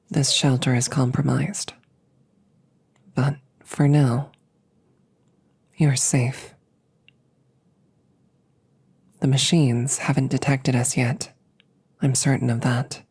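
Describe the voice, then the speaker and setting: A woman voicing a calm, protective female combat android in an intimate audio drama, softly and with measured, formal, soothing phrasing. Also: extremely low pitch, full character voice. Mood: hushed, urgent calm. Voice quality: soft, breathy, often near a whisper. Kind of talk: intimate roleplay audio drama, sleep aid.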